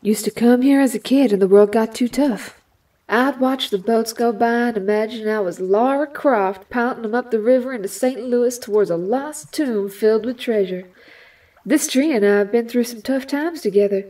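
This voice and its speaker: Woman acting Rogue, high-voiced sassy Southern heroine. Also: moderate pace, mellow, recorded from a distance, close to an everyday voice. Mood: guarded. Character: Rogue, guarded, hot-tempered young mutant heroine. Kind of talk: audio drama acting.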